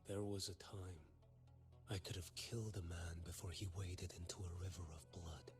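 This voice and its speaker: A man plays a cold, stern fighter in a fantasy game. The voice sits low, with grave, measured accusation in it, over prominent background music. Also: little pitch movement, close to an everyday voice. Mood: stern, accusing. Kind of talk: dramatic fantasy game voice acting.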